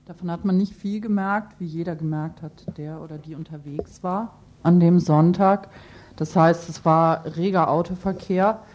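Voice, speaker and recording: A woman's voice: fairly even intonation, normal speed. A woman answering interview questions spontaneously as a local activist, in a matter-of-fact way, on a lo-fi recording. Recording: dull lo-fi interview tape, faint rumble.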